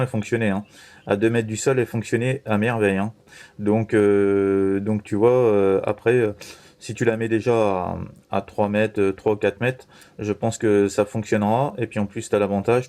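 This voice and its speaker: A man chatting casually as a radio operator, halting and reassuring, often tagging his phrases. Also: fairly low pitch, darkish tone. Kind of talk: casual two-way radio chat.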